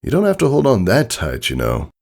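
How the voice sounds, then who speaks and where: A playful pegasus father, acted out by a man, raised a little above the reader's deep register. He coaxes with easy, nonchalant affection and mild impatience, as in a storybook. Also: wildly swooping pitch, brisk, creaky, darkish tone, heavily acted. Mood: nonchalant, teasing. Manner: nonchalant, coaxing. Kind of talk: acted fan fiction storybook reading.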